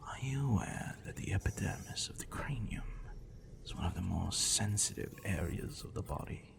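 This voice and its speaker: A man playing a possessive, sadistic professor in an ASMR roleplay, condescending and teasing, slipping into intimate whispers, with a low gravelly voice. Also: expressive, exaggerated voice. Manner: condescending, teasing, deliberate, dropping into breathy whispers. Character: possessive sadistic male professor, obsessive, teasing, smugly intellectual.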